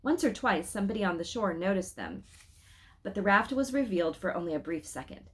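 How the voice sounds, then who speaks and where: A woman reading a children's story aloud expressively, pausing to speak directly to her listeners with questions and asides. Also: medium pitch, expressive.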